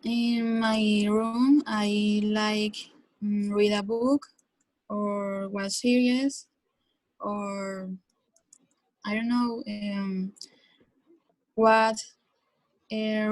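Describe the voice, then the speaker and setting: Teen Colombian girl, Spanish accent, exam candidate, flat slow halting delivery, dry sound. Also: medium pitch, dry recording, low-quality recording. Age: teens. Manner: hesitant, halting learner English with fillers. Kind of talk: speaking exam answers.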